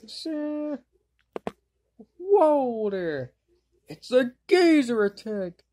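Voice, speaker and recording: A woman's voice: high-pitched, very animated, extremely slow, mellow, a little hiss or hum, exaggerated voice. A woman doing a wisecracking boy wizard. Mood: excitable. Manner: wisecracking, yelps and exclamations. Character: jokey excitable young boy wizard. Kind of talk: fan dub voice clips.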